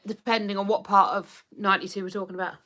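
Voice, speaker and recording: A woman's voice: mid-range voice, steady tone, fast, bright, forceful tone. An English woman in her thirties hosting a livestream, chatting casually and reacting to viewer comments. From England. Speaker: livestream host.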